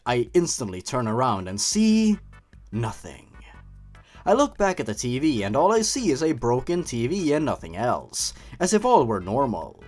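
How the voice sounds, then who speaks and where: Guy hosting a creepy story review, super animated voice goes up and down a lot, bit mocking, music quietly behind. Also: mid-range voice, normal speed. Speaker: host and narrator of a creepypasta review show. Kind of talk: dramatic scripted story reading with comic commentary.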